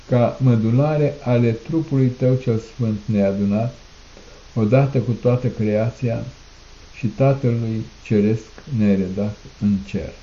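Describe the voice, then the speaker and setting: A man reciting a solemn liturgical prayer in a declamatory manner, in measured phrases with repeated refrains. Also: on the low side, warm tone. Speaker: prayer reader. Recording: muffled, echoey room recording.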